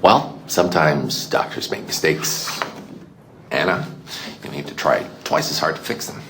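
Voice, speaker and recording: An actor playing a calm male doctor who questions a patient in a patient, probing way. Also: a bass voice, unhurried, slight background noise, full character voice. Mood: reassuring.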